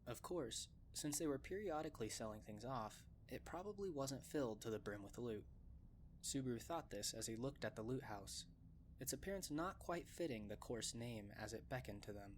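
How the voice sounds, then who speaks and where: Narrator for audio drama: man, medium-pitched, scripted storytelling, slight ambient noise behind him. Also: animated, normal speed.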